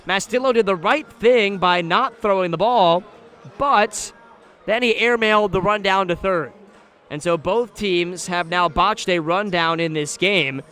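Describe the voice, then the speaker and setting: A man doing live radio play-by-play commentary of a baseball game, describing the action in a measured way that rises when the play picks up. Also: high voice, bright, forceful tone, clear, resonant tone. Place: live at an outdoor ballpark.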